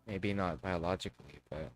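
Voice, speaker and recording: A man's voice: deep voice, normal speed, slight background noise. A man livestreaming a game, chatting casually and thinking aloud, with some swearing. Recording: dry close sound, faint music.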